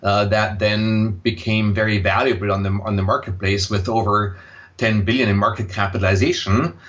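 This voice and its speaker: A man's voice: lowish voice, fairly even intonation, moderate pace, fairly bright tone, dull audio. A man in his sixties from Austria, a native German speaker with an Austrian accent, talking conversationally as an interview guest. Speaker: podcast guest, impact investor and former tech executive. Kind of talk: conversational personal account in an interview.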